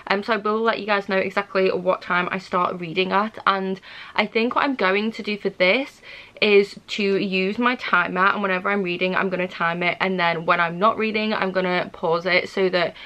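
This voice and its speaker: A woman's voice: mid-range voice, fairly even intonation, normal speed, bright, forceful tone, no echo. A woman, chatting casually for a book vlog and thinking aloud in an indecisive, rambling way. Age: 20s. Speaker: book vlogger. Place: small furnished room at home.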